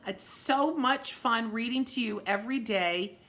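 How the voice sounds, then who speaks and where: A woman reading a children's story aloud in an expressive, dramatic way, with chatty asides spoken directly to young listeners. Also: a little high, a little slow. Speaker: story-time reader for children.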